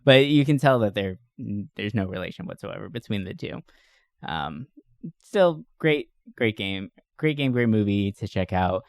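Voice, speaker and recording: A man's voice: lowish voice, expressive, a little slow, creaky, clean tone, no room sound, clean studio sound. A man co-hosting a podcast in an enthusiastic, informal chat, swearing casually. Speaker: podcast co-host. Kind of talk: casual chat about movies.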